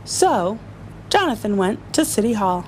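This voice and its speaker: A woman reading a children's story aloud to a group, with expressive, emphatic delivery. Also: mid-range voice, a little slow, a little hiss or hum.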